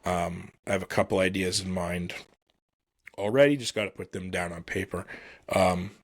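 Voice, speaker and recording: Male podcast host, lowish voice, casual solo sports commentary, halting delivery, self-deprecating, close dry sound. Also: steady tone, dry recording.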